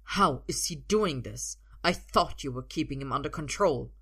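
A hard, domineering father from a storybook, acted by a woman narrator in a low voice. He is cold and stern, with no softness for anyone. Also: animated, normal speed, husky, full character voice. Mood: furious. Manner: cold, forceful.

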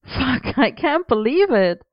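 An excitable, cheeky young man, as a woman narrator puts him on, raised in pitch and bursting with energy. His lines come out animated and exclamatory. The recording is dry and slightly muffled. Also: takes it slowly, no echo, exaggerated voice. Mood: excited.

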